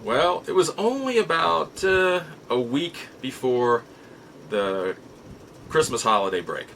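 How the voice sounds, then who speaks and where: A middle-aged man with a bright, forceful voice at a medium pitch tells a personal story as the host of his own show. He is folksy and conversational, circling back to repeat and reword things. Faint noise sits behind the voice. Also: big pitch swings, slow, gravelly. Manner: folksy, rambling, repeats and rephrases himself. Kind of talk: casual personal storytelling to camera.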